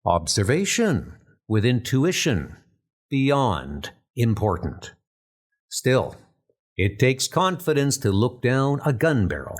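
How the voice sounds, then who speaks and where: A man's voice: medium pitch, wildly swooping pitch, slow pace, exaggerated voice. A man playing a composed senior British officer instructing spy recruits in a comic dub, formal and authoritative, with music behind. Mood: composed, instructive. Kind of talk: comic dub dramatic acting.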